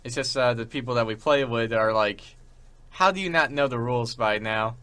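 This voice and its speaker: A young man hosts an informal chat show in a middle-pitched voice. His delivery is casual and stop-start, often doubling back to repeat a word or two before carrying on. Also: moderate pace, bright, forceful tone, smooth. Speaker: show host.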